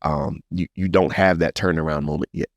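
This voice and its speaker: Male podcast host, American, very low voice, close dry sound. Heartfelt storyteller with a preacherly, encouraging streak. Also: brisk, no room sound. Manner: animated storyteller, slips into encouraging preacher-like address. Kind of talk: casual, heartfelt testimony and conversation.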